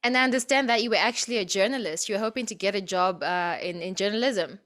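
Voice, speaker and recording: A woman's voice: medium pitch, quick pace, a bit bright. A woman hosting a conversational radio interview, putting questions to a guest.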